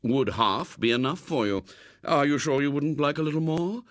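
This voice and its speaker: Middle-aged British father, voiced by a male reader, unruffled and sardonic, mock-polite delivery, animated pitch, storybook comedy. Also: moderate pace, no echo, clean studio sound, natural voice. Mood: sarcastic. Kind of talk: dramatised audiobook reading.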